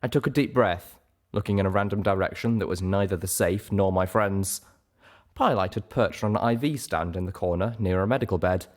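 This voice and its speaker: A man reading a story aloud as an audiobook narrator, in an expressive scripted style. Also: low voice, fairly quick. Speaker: audiobook reader.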